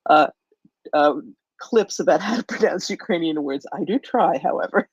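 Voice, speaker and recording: A woman's voice: lowish voice, moderate pace, breathy edge, no room sound, muffled recording. A woman with an American accent chatting casually as a co-host on a live online audio discussion. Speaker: co-host and book club leader. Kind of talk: casual chat. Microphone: live online audio chat.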